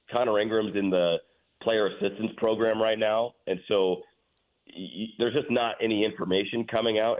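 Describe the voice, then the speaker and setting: The voice comes through a thin, phone-like line. It is a man with a fairly low pitch, chatting as an interview guest. He explains sports matters in a conversational, casual way. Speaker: radio play-by-play announcer, interview guest.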